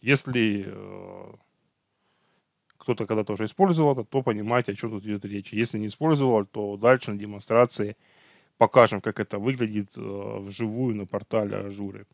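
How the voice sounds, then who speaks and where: A man's voice: lowish voice, steady tone, brisk, creaky, no echo. A man teaching an online technical training over slides, talking unhurriedly, with hesitations and self-corrections.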